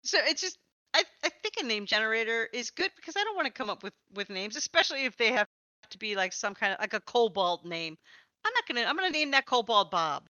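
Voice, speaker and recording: A woman's voice: on the high side, sing-song, quick pace, bright, forceful tone, no echo, lo-fi sound. A woman hosting a casual, chatty podcast about tabletop gaming, with a playful way of talking. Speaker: podcast host and interviewer.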